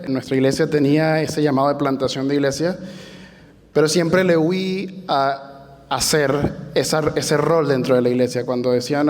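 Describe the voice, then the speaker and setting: A man's voice: on the high side, a little mellow. A Venezuelan man in his thirties with a Venezuelan accent, a church planter telling his story conversationally on a panel. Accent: Venezuelan Spanish. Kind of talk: panel testimony. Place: large hall, panel on stage. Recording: reverberant hall.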